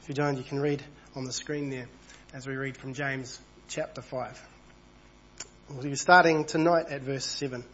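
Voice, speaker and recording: A man over thirty-five, husky from a cold, preaching an evening church sermon in a conversational way and reading passages aloud. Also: mid-range voice, fairly even intonation, moderate pace, fairly bright tone, highs cut off, slight background noise. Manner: conversational, reads passages aloud, small asides.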